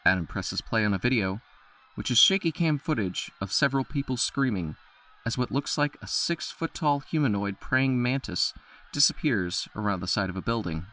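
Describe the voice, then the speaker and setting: A man giving steady, descriptive scripted narration for an audio drama. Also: medium pitch, moderate pace.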